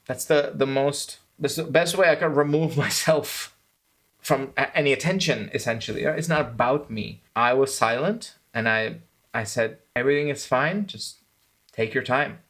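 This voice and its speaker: A man's voice: mid-range voice, moderate pace, a bit bright. A man who hosts a channel, a filmmaker talking thoughtfully about how he made one of his documentaries. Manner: thoughtful, self-critical, grows enthusiastic. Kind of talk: reflective commentary on his own film.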